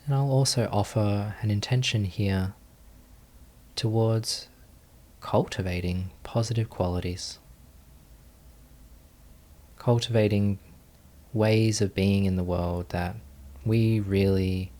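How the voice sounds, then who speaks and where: A man leading a guided meditation as a teacher, speaking gently and calmly, unhurried, with long pauses between phrases. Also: lowish voice.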